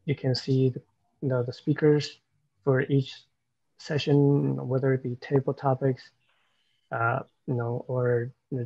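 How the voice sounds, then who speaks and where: A man's voice: medium pitch, creaky, no echo. A man from Taiwan, guest at an online club meeting, gives friendly feedback with plenty of "you know", over a video call. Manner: warm, encouraging, filler-heavy with you know. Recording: dull dry video call audio.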